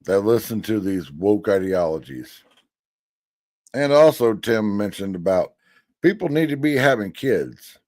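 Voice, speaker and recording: Blunt fifty-something guy drawling slow and low, dry close mic. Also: big pitch swings, a little mellow, no room sound. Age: 50s. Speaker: commentator reacting to a hearing. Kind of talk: casual commentary over a clip.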